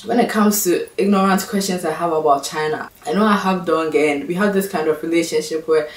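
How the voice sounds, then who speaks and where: A young woman from Ghana with a Ghanaian accent, talking to camera in a casual, exasperated and sarcastic vlog. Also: fairly quick. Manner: exasperated, sarcastic, mimics others in high voices. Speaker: vlogger. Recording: echoey room, mic at a distance.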